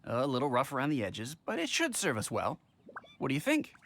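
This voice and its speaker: A man's voice: mid-range voice, huge pitch swings, brisk, noisy background. A man playing a genial, practical middle-aged innkeeper in a video game, chatty, thoughtful and polite. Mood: genial, helpful. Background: music.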